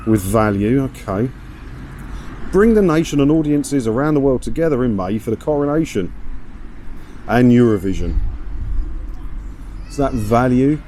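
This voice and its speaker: A man's voice: on the low side, dark tone. A man chatting casually as he walks outdoors, giving sardonic, grumbling commentary on the news and often asking rhetorical questions. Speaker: vlogger hosting a commentary video. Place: outdoors, walking around an English seaside town. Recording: outdoor windy street noise.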